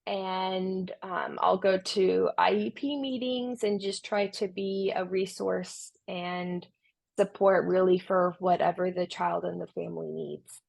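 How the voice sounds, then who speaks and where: A woman's voice: lowish voice, steady tone, slow pace, gravelly, darkish tone. A young adult woman from Romania, a tutoring business founder, answering interview questions in an earnest way with many restarts. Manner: earnest, with frequent restarts and fillers.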